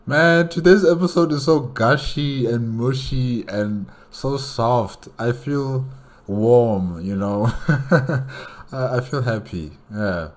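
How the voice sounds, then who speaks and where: A man's voice: medium pitch, slow. A man hosting a casual solo reaction, musing aloud with emotional investment in what he watches. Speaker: reaction video host.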